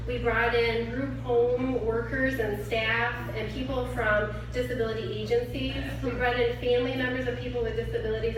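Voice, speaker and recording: Woman giving an earnest speech in an echoey hall. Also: fairly high pitch. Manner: earnest, storytelling, emphatic. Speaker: executive director of an arts nonprofit. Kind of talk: speech at a fundraising event. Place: large event hall. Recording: echoey hall, distant from the mic, noisy.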